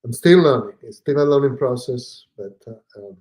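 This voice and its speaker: An Italian-accented man speaks as a podcast guest, pausing often, filling gaps with "uh" and "um" and restarting his sentences. His voice sits at a middling pitch for a man. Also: darkish tone. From Italy. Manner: hesitant, many fillers and restarts. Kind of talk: casual conversation.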